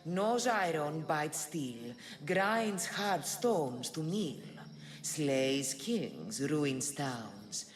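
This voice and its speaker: An actress playing a mysterious woman guardian who tests a hero with riddles, in a slow, measured manner. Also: low voice, animated, full character voice. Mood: enigmatic. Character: low-voiced woman guardian posing riddles. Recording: game cutscene with loud music.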